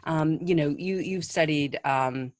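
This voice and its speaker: A woman hosting an interview podcast, speaking in a measured way and introducing her guest. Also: deep voice, no echo, clean studio sound. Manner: measured, with many "um"s and soft asides. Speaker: podcast host.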